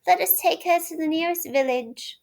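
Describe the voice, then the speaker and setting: A woman voicing a polite, kindly gentleman motorist in a storybook. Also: very high voice, normal speed, vocal fry, voice pushed up. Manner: gentle, courteous.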